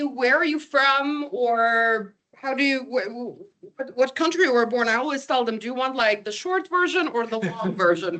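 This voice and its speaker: Female guest academic, high voice, foreign accent from a Ukrainian and Lebanese upbringing, native Ukrainian and Russian; chatty presenter who involves the room with questions. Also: bright, forceful tone. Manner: chatty, engages audience with questions. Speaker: visiting academic, guest speaker. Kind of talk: informal academic presentation to a live audience.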